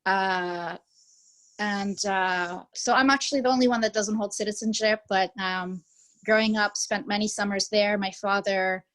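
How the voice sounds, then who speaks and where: A woman's voice: mid-range voice, fairly even intonation, normal speed, a bit bright, poor audio. An American woman chatting on a video call, talking hesitantly with many ums and restarts. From United States. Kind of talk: casual conversational reminiscing.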